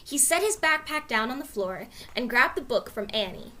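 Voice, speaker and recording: A woman reading a children's storybook aloud as narrator, with expressive delivery. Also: high voice, normal speed. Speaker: story reader.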